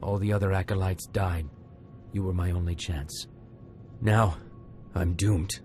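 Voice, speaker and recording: An actor playing an anxious, craven young Sith trainee in a video game, earnest and faltering, with music underneath. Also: male voice, sits low, fairly even intonation, a little slow, darkish tone. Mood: desperate, resigned. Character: anxious, defeated male Sith acolyte, craven and grateful. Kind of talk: scripted video game drama.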